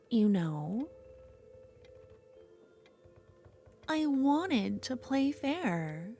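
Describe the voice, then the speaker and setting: A woman playing an obsessive, menacing yet tender duelist rival, bitterly infatuated, in an intimate, halting ASMR fantasy roleplay. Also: mid-range voice, mellow, full character voice. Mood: teasing, wistful.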